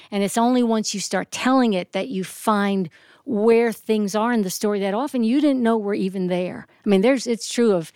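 A woman's voice: mid-range voice, a little mellow, clear, resonant tone, no echo, studio quality. A middle-aged woman, a storyteller, talking informally and emphatically to an audience and acting out reactions. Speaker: storyteller and actress. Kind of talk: talk to a studio audience. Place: studio.

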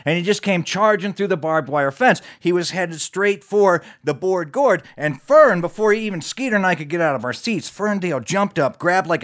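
A man telling a tall tale live in a folksy, conversational way, with animated asides to his listeners. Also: high-pitched. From West Virginia, United States. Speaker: storyteller. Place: recorded live in a studio.

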